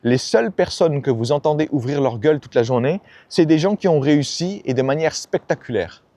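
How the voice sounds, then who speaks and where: A man's voice: fairly high pitch, animated, fairly quick, warm tone, no echo. A man giving a blunt, slangy motivational talk about ambition and failure, often acting out the people he quotes. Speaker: self-help YouTuber. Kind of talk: casual motivational monologue.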